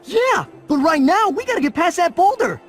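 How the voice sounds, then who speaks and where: Small cartoon possum, male, high and very animated, scheming, music behind. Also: moderate pace. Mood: frantic excitement. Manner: excitable, conspiratorial.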